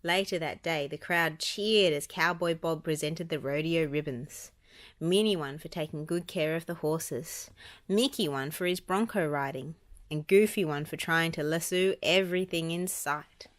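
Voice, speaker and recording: A woman narrates a children's audiobook. Her voice sits a little low for a woman, and she tells the story expressively. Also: normal speed. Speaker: storybook reader.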